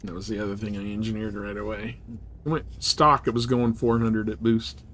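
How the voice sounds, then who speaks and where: A man's voice: lowish voice, flat delivery, fairly quick, fairly bright tone. A man chatting informally and slangily as he gives rambling live commentary on a video game he is streaming. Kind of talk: casual live gameplay commentary. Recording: clear background noise.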